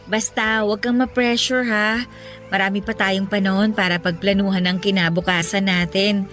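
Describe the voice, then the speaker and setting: A woman playing a caring, level-headed young girlfriend in a Filipino radio romance, gentle and reassuring. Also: mid-range voice, fairly even intonation, normal speed, soft background music, close to an everyday voice. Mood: gently teasing, sensible. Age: young adult. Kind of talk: Tagalog radio romance drama acting.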